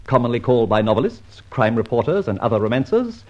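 An actor playing a breezy gentleman adventurer, jaunty and flowery in the manner of old-time radio drama. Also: medium pitch, big pitch swings, fast. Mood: suave. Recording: dull old radio recording, faint noise.

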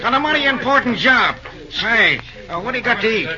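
A man's voice: very high voice, animated, a little fast. An actor playing a slangy, blustering small-town railroad man in a vintage radio drama, folksy and prone to stammering. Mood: agitated, blustering. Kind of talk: 1930s-40s radio drama acting. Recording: muffled old radio with crowd murmur.

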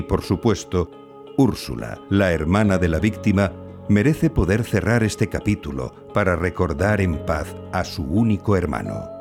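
Lowish-voiced male true-crime podcast narrator.

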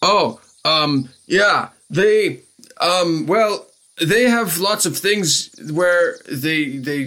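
A man's voice: mid-range voice, fairly bright tone. A man voicing a bumbling, well-meaning orc bard in a tabletop game, hesitant and stammering.

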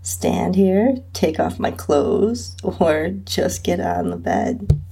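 A woman with a husky, breathy voice reading a first-person erotic story as its narrator. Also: lowish voice, huge pitch swings, takes it slowly, dark tone. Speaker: podcast host and narrator.

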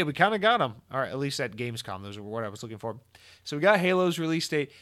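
A young man hosting a gaming podcast, talking in a casual, opinionated way, his voice hoarse and tired, blunt and swearing freely. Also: mid-range voice, pushed and bright.

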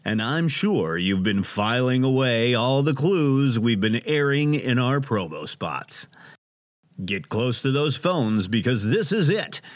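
An actor playing a theatrical, self-important radio host in an audio drama, grandiose and melodramatic. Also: male voice, medium pitch, animated, takes it slowly. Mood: showman grandeur. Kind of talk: audio drama acting, radio showman patter.

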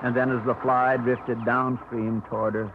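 A man narrating a 1950s radio drama in a measured, storytelling manner. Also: mid-range voice, flat delivery, noisy background. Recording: tinny old radio broadcast with music.

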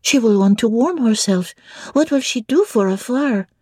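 A woman's voice: a little high, lively intonation, normal speed, a little mellow, breathy edge, no echo, studio quality, pitched above the performer's own voice. A woman voicing a pert, practical little girl, questioning and arguing in a dramatic audiobook reading. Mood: worried questioning. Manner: pert, matter-of-fact, questioning.

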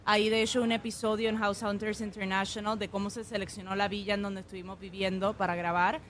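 A young woman, a chef from Puerto Rico with an accent mixed between Puerto Rican and Mexican Spanish, telling stories in a relaxed way with frequent fillers in a radio interview. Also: mid-range voice, fast, a little hiss or hum. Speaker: guest chef.

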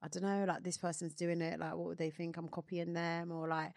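Lively woman telling stories. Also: lowish voice, brisk, creaky, dry recording, studio quality. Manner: animated storytelling, swears, laughs often. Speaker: podcast co-host. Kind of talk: casual chat.

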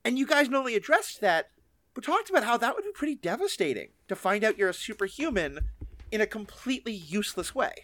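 Guy podcast host, pretty high voice, chatty and keen, close dry sound. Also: very animated, fast talker, fairly bright tone, no echo. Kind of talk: conversational interview about fiction.

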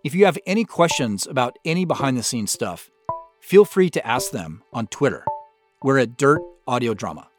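A man's voice: mid-range voice, very animated, normal speed, over music. A man giving informal behind-the-scenes commentary as the creator of an audio drama.

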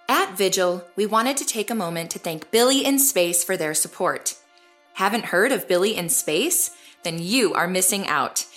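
A woman with a medium-pitched voice narrates as podcast host. Her scripted delivery is conversational and turns to rhetorical questions, with music underneath. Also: fairly bright tone.

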